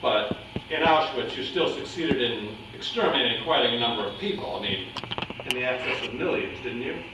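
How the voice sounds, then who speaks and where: A man's voice: medium pitch, animated, moderate pace, natural voice. Stage drama: man as persistent interrogator, pointed and doubting, distant in a heavily echoing room. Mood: probing. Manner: probing, pointed. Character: persistent, skeptical interrogator of a war criminal. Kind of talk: staged play reading. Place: indoor room at a live staged reading, distant mic. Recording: very echoey, noisy room, distant mic.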